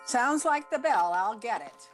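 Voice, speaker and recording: An actress playing a dry, wisecracking schoolteacher in an old-time radio comedy, with a deadpan manner. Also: female voice, a little high, measured pace, music in the background. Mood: welcoming. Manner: deadpan, wry.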